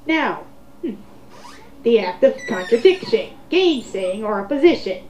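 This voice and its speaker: A woman's voice: fairly high pitch, big pitch swings, a little slow, a little mellow, a little hiss or hum. A woman reading online comments aloud in a sarcastic, theatrical way, with mocking commentary. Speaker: host of a dramatic reading. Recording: roomy, muffled home recording.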